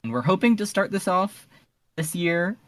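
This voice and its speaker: A man's voice: sits high, expressive, moderate pace, fairly bright tone, dry recording. A man chatting casually as a guest on a podcast.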